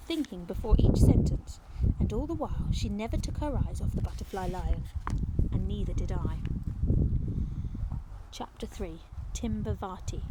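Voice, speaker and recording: A woman reading a children's novel aloud as narrator, in the expressive manner of a teacher reading to pupils. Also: medium pitch, slight background noise, low-quality recording.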